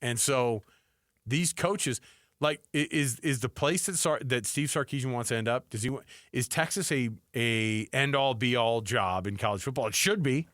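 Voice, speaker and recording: A man with a medium-pitched voice talks sports as a radio co-host. His delivery is casual and animated, often slipping into imagined quotes. The sound is very dry, with little room. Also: normal speed, dry recording.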